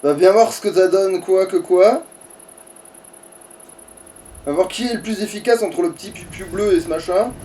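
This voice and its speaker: A man's voice: high-pitched, normal speed, pauses often, dark tone, slight background noise, poor audio. A man chatting casually as he plays a game, swearing and taunting his enemies. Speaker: let's player. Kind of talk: casual gameplay chat.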